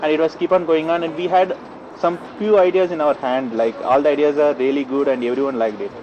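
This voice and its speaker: A young man, a student, recounting a team project in halting English. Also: on the high side, fairly even intonation, lo-fi sound, slight background noise. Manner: halting, non-native phrasing. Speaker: master's student. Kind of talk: interview, recounting.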